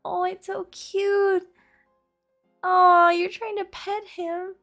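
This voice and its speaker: A woman acting an affectionate, playful young girlfriend in an intimate audio roleplay, speaking softly and chattily. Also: extremely high pitch, level tone, takes it slowly, faint music behind, exaggerated voice. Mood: fond, amused. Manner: soft, tender, chatty with teasing asides.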